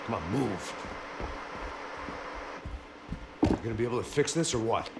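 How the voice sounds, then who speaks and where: An actor playing a weary, cynical middle-aged American ex-cop bodyguard in a noir video game, deadpan and sardonic. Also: male voice, lowish voice, quick pace, very noisy. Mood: curt, commanding. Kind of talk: hard-boiled noir game narration and dialogue.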